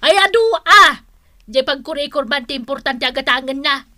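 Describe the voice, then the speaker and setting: A woman's voice: high voice, moderate pace, pushed and bright, no room sound, clean studio sound. A woman with an Ilocano accent playing a cranky, nagging mother of grown children in a radio comedy drama. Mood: demanding. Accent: Ilocano, northern Philippines. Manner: scolding, complaining.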